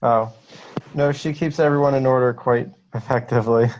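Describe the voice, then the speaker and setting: A man's voice: mid-range voice, fairly even intonation, moderate pace, darkish tone, a little hiss or hum. A man from Canada giving brief, dry replies in a casual chat on a video call. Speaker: call participant. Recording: dull lo-fi call audio.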